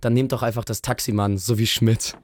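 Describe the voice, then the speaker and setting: A man's voice: mid-range voice, level tone, fairly quick. A man from Germany hosting a radio show, chatting in an upbeat, casual way. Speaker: radio host.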